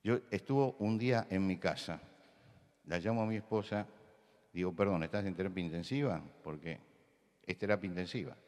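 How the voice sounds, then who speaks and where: A man from Buenos Aires with a Rioplatense accent, preaching a sermon full of anecdotes, who repeats key words and rises to loud insistence. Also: sits low, moderate pace, big-room sound. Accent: Rioplatense Spanish. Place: church hall.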